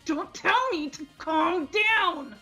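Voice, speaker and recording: A woman's voice: extremely high pitch, expressive, slow, pushed and bright, faint music behind, exaggerated voice. A woman voicing an insecure, paranoid teenage girl from a video game, with indignant, stammering outbursts. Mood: angry, panicked. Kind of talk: amateur voice acting of a video game script.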